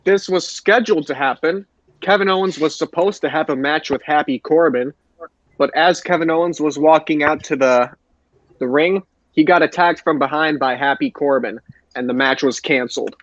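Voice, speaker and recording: A man's voice: fairly high pitch, moderate pace, dry recording. A man co-hosting a podcast, giving an informal recap on a lo-fi recording. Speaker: podcast co-host. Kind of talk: informal recap of wrestling show.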